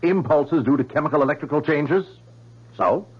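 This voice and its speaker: An actor playing a troubled, obsessive scientist in a 1970s radio drama, speaking in a halting, insistent and defensive way. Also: male voice, a little high, breathy edge. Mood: agitated, insistent. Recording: muffled vintage radio broadcast.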